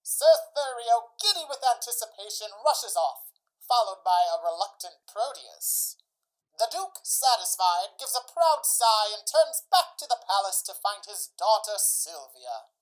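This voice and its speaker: A woman's voice: a little high, poor audio. A woman narrating and announcing a radio drama in a scripted, playful old-time serial style.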